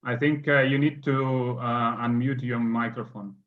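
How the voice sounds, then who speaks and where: Hesitant panel moderator on dull call audio. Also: male voice, mid-range voice, fairly even intonation, unhurried. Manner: hesitant, many fillers.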